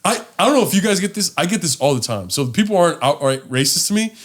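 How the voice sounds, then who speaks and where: A young man chats on a home podcast, telling stories with energy. His voice sits a bit high. He speaks close into a studio boom mic. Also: fast. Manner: animated storytelling, laughs often. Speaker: podcast co-host. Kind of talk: casual chat. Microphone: studio mic on boom arm with headphones.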